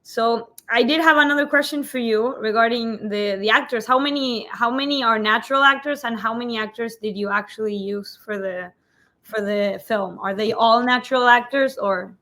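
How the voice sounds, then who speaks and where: Bright-voiced woman festival moderator. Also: a little high, steady tone, normal speed. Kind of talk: interview questions.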